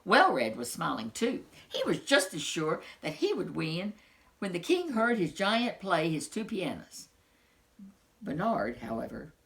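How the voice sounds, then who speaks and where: A woman storyteller reading a children's picture book aloud with expressive, dramatic phrasing. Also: deep voice, gravelly.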